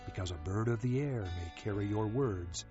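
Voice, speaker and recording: A man playing a solemn, reflective ancient sage who declaims scripture in a measured, expressive way. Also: lowish voice, close to an everyday voice. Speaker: audio Bible reader. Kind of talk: dramatized scripture reading. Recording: dull, lo-fi audio under music. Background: music.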